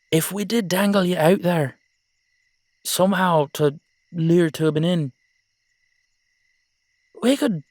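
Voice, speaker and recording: A man's voice: a little high, a little mellow, no echo. An earnest old Irish dullahan acted by a man in an audio roleplay. He is coaxing and emphatic, lifting his voice as he presses his point, and is recorded close and dry. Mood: urging. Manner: emphatic, coaxing, salty-tongued.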